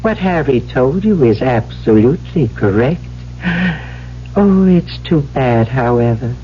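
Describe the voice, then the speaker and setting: A man's voice: mid-range voice, lively intonation, measured pace, slight background noise, lightly acted. A man acting in a 1970s radio drama as a haughty, commanding talking typewriter, speaking formally and condescendingly. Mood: smugly superior. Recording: muffled, humming old radio broadcast.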